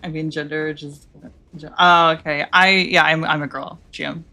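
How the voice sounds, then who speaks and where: Bright-voiced woman streamer, rambling chat. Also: deep voice, fairly even intonation, moderate pace. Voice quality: feminine voice. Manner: rambling, self-interrupting, joking. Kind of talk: casual gaming stream chat.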